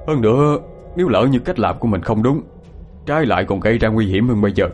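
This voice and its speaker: A man's voice: fairly low pitch, animated, fast talker, darkish tone, faint music behind, lightly acted. A man doing a reasonable village uncle. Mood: reasoning.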